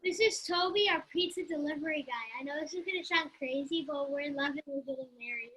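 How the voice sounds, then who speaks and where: Woman as giddy, very high-pitched sitcom woman. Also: fast, natural voice. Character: giddy, impulsive woman in love. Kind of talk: amateur table read of a sitcom script. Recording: noisy, clicky home microphone.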